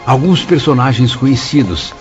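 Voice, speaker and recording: A man's voice: mid-range voice, expressive, normal speed, a little mellow, close to an everyday voice. A Brazilian man acting as a thoughtful spirit who narrates his memoir in a radio drama. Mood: solemn, admiring. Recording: dull sound under prominent music.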